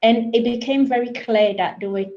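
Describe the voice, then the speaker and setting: A woman who grew up in Nigeria, speaking as a panelist on a webinar, emphatic and lively, firing off questions. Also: a little high, moderate pace. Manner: animated, emphatic, with rising questions. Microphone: video call. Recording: lo-fi video call audio.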